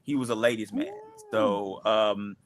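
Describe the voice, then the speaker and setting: Man chatting in a halting, restarting way. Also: lowish voice. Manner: halting, repeats words as he gathers thoughts. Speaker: creator of the comic series. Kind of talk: casual livestream chat.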